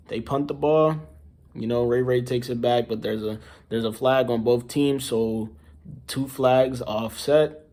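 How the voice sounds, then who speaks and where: Young man, hoarse, tired, lowish voice, casual rambling sports recap. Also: a little mellow. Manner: tired, rambling, repeats himself, lots of "you know". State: losing his voice, tired. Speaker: sports fan vlogger. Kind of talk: casual post-game recap.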